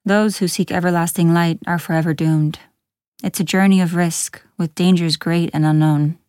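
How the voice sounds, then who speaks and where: A woman voicing a towering, bitter man in a storybook, with a gruff growl and brusque, old-fashioned, foreboding speech. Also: fairly low pitch, steady tone, normal speed, darkish tone, no room sound, clean studio sound, natural voice. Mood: grave, foreboding.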